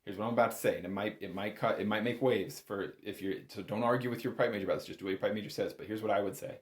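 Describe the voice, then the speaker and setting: Low-voiced man teaching in an echoey room. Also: steady tone, very fast. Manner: hedging, self-correcting, emphatic on key words. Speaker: bagpipe instructor. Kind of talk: informal instructional talk. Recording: roomy, echoing room sound.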